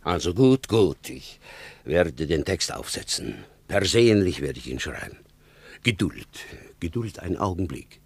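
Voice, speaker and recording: An actor playing an anxious spa director in a radio play, husky, flustered and hesitant, repeating himself. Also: male voice, low voice, big pitch swings, a little slow, no room sound, exaggerated voice. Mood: resigned, strained. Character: anxious Soviet spa director, afraid of responsibility.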